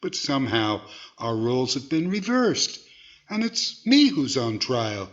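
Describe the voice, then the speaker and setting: A man's voice: medium pitch, very animated, measured pace, lo-fi sound. A man playing an earnest, hesitant ventriloquist boss, the flustered straight man of a 1940s radio comedy. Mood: earnest protest. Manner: hesitant, earnestly self-defending.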